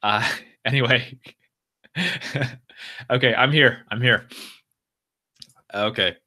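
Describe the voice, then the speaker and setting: Brief: American man, live stream host, bright forceful voice, mid pitch, casual and rambling. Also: very slow. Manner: talks straight to viewers, reads out and answers chat comments; loose, rambling, full of restarts and fillers.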